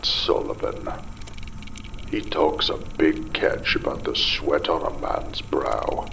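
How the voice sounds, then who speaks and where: An actor playing a desperate, unraveling father in a ruined underwater city, muttering a halting, bitter monologue in a gravelly, breathy voice for an audio drama. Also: rumbling low, a bit bright, heavily acted, low-quality recording. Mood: bitter, weary. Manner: halting, muttering, broken pauses, bitter swearing.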